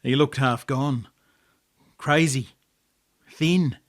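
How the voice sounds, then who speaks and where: Slow-paced Australian man telling a story. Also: mid-range voice. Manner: measured, poetic phrasing with deliberate pauses. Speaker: author reading own story. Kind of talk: dramatic story narration.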